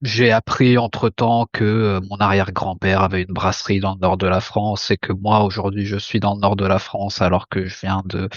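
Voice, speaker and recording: A man's voice: low voice, level tone, moderate pace, hardly any pauses, dry recording. A man in his thirties from Italy, a graphic designer, speaking hesitantly with many fillers as a podcast guest. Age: 30s. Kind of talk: casual interview chat. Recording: dull lo-fi sound.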